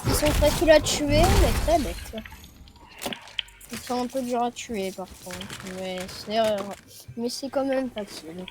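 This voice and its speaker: A woman with a slightly high voice reasons aloud during an improvised game roleplay. Her voice chat carries clear background noise. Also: a little slow. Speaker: roleplay player.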